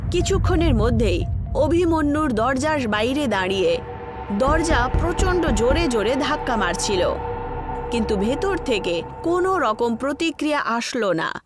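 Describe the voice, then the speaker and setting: A woman's voice: high voice, sing-song, normal speed, over music. A woman narrator delivering a scripted horror story.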